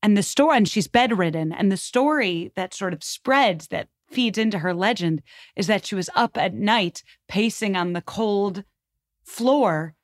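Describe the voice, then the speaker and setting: A woman's voice: mid-range voice, animated, measured pace, no echo, clean studio sound. A woman guest talking at length in an emphatic, rambling, conversational way, as if telling a story from history. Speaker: podcast guest.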